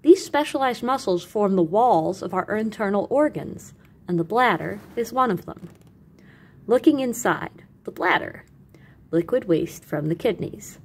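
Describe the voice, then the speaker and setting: A woman reading educational material aloud as a teacher, with animated emphasis and carefully separated lists. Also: medium pitch, measured pace, breathy edge. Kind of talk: expressive educational read-aloud.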